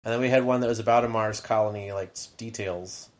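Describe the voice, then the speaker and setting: A man's voice: fairly low pitch, steady tone, fast talker. A man co-hosting a podcast, talking in a rambling, casual way with lots of asides. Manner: rambling, chatty, full of "like" asides. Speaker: podcast co-host and middle school teacher. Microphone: podcast microphone.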